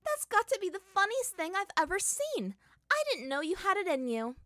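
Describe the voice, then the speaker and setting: A woman voicing a cruel, haughty young princess who mocks and threatens loudly in a storybook reading. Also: extremely high pitch, very animated, moderate pace, no echo, clean studio sound, voice pushed up. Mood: teasing.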